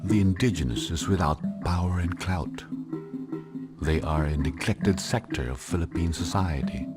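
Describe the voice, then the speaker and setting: A man giving measured, deliberate scripted documentary narration. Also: sits low. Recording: narration over clear background music. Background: instrumental music.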